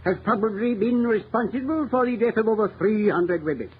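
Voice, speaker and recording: An actor playing a grim, vehement police chief in a formal, declamatory 1930s radio drama style. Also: male voice, high voice, fairly quick, lightly acted. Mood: grave, probing. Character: grim, vehement middle-aged police chief hunting a killer. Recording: tinny, noisy 1930s radio recording.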